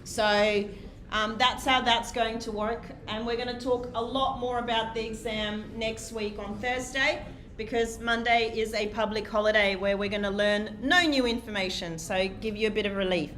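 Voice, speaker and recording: Bright, high-voiced woman lecturing. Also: fairly even intonation, moderate pace, slight background noise. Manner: loud, projecting voice, chatty and self-deprecating, many "um"s. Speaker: university lecturer. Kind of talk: live lecture to a large class. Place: large lecture hall. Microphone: lecture microphone, sometimes off-mic while walking.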